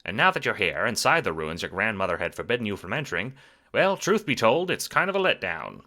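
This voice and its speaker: Male narrator, lowish pitch, very animated, theatrical, wry, scripted audio drama storytelling. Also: brisk.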